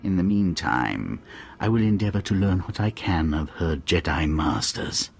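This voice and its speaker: An actor playing an obsequious, scheming Sith informant in a video game, unctuous, hesitant and self-deprecating. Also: male voice, sits low, lively intonation, measured pace, creaky, soft background music, exaggerated voice. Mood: servile, scheming.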